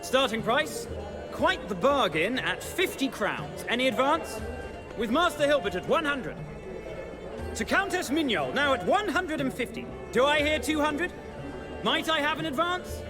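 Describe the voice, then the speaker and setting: Very high, theatrical auctioneer. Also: male voice, very animated, unhurried, music in the background, full character voice. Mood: grand, inviting. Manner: showy, effusive, formal. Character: theatrical, genteel, excitable male auctioneer. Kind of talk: video game cutscene auction patter.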